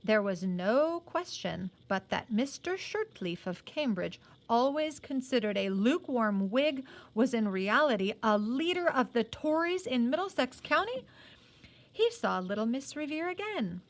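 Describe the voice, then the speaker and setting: A woman with a fairly high voice reads a story aloud like a teacher. She is expressive with the narrative and slips into informal, playful asides to explain it. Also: unhurried.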